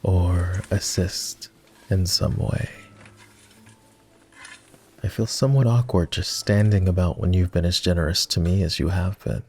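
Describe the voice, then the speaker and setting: A man voicing a polite, self-deprecating old vampire in an intimate roleplay ASMR style, with formal, hesitant phrasing and a soft, husky delivery. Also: sits low, steady tone, a little slow, pauses often, full character voice. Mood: shy, awkward. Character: polite, self-deprecating, gently teasing ancient male vampire.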